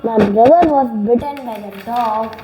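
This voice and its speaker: High-voiced woman student repeating sentences. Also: a little slow, roomy sound, lo-fi sound. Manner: careful repetition with small slips.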